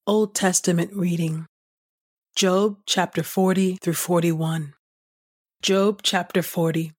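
A woman host reading scripture aloud from a script in a measured, solemn, clearly enunciated way. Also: lowish voice, fairly even intonation. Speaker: podcast host and scripture reader. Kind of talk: scripted audio Bible reading.

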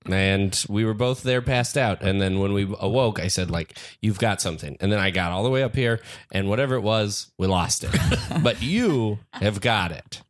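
A man's voice: on the low side, moderate pace, few pauses. A man hosting an improv comedy podcast, here playing a frustrated photographer in a scene, laughing often. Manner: lively, animated, laughing often.

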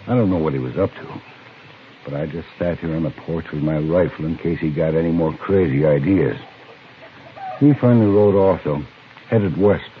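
A man's voice: very deep voice, moderate pace. A man playing a folksy, plainspoken rancher with a rural American accent in a 1950s radio western. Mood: puzzled. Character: folksy, easygoing Old West rancher. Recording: muffled, noisy vintage radio recording.

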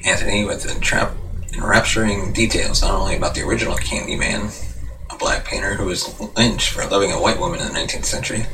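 A man hosting a movie show, reading scripted news and reviews in a slightly halting way. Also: sits low. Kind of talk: scripted narration, reading box office news and a film review. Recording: noisy room, distant microphone.

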